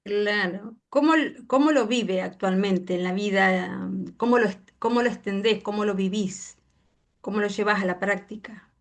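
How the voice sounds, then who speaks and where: A woman's voice: mid-range voice, moderate pace. A woman hosting an interview, asking questions with hesitant restarts. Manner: hesitant, restarts, warm backchannels. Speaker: interviewer, event host.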